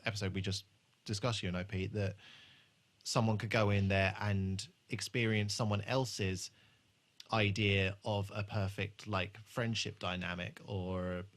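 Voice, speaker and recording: A man with a fairly low voice hosts a podcast. He talks in a casual, conversational way as he discusses an episode. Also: steady tone, unhurried, a bit bright. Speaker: podcast host.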